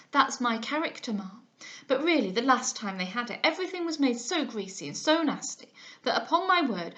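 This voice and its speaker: Brief: woman reader voicing a forward, low-bred young suitor, loud and ingratiating, fairly high voice. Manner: loud, ingratiating, affected gentility. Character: boastful vulgar young man, forward and fawning.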